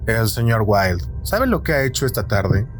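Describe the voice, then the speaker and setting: A man's voice: fairly low pitch, big pitch swings, moderate pace. A man voicing an earnest, polite tradesman who speaks courteously and insistently, in an audio story with music behind. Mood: probing, concerned. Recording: light music behind the reading.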